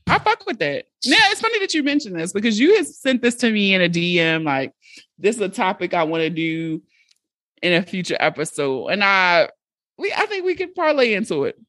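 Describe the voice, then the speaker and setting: A woman in her thirties hosts a podcast, talking frankly and expressively. Her pitch swings widely through her sentences, and she sounds close and dry on the mic. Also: no room sound. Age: 30s. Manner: expressive, frank and quick to laugh. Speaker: podcast host. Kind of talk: casual chat.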